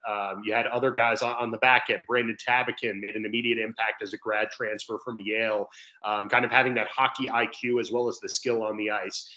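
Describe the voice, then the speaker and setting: A man's voice: fairly low pitch, level tone, brisk, bright, forceful tone. A young man giving conversational sports analysis as a guest announcer on a video call. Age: young adult. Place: indoor room on a video call. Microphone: video call microphone. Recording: dull, slightly lo-fi call audio.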